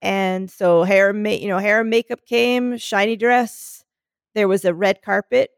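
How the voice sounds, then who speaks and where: A woman with a smoky, scratchy voice, roughened by a sore throat and full of gravelly fry. She chats loosely on a podcast and restarts her sentences often. The recording is close and dry. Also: mid-range voice, fairly even intonation, unhurried, dry recording. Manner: chatty, rambling storyteller with many restarts. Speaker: podcast co-host. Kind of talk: casual chat.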